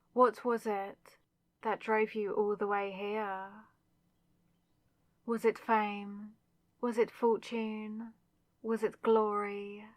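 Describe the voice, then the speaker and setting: A woman's voice: mid-range voice, dry recording, natural voice. A woman playing a haughty, villainous spirit in a possessed body, taunting and theatrical with mock sweetness, in a scripted ASMR roleplay. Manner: taunting, theatrical, mockingly sweet, savouring words.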